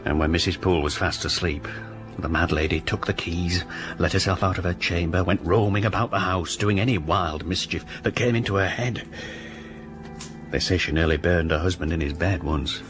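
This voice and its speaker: An actor playing a deferential country innkeeper who gossips with hesitant, chatty speech. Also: male voice, sits low, normal speed, over music, close to an everyday voice. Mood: gossipy. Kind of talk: radio drama dialogue.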